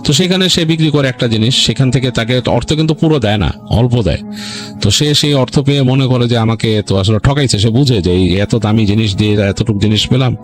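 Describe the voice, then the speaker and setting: A man's voice: medium pitch, a little fast, faint music behind. A man with a Bangladeshi accent narrates a story informally, in a colloquial, rambling way with explanatory asides. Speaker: ghost story narrator on a radio-style show.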